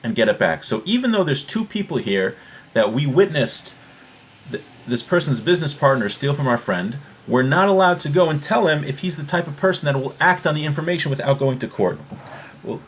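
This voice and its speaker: A man giving an informal religious lesson, explaining step by step in a halting way and rephrasing his points as he goes. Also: fairly high pitch, lively intonation, slight background noise. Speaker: religious teacher giving a class.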